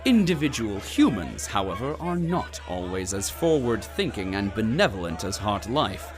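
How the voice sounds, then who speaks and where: A man's voice: fairly low pitch, big pitch swings, normal speed, over music, a crowd in the background. A man acting as a grandiose corporate propaganda narrator in an audio drama, with a grand, unctuous dramatic delivery. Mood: smug.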